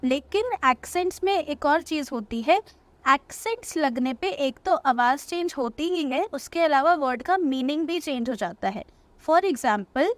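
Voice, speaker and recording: A young woman teacher speaks high and unhurried, taking each point step by step and saying words twice. She keeps checking that the listener follows. The sound is close and dry, with no room in it. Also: dry recording. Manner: patient, explains step by step, repeats words slowly. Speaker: French teacher. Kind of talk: language lesson in Hindi-English mix.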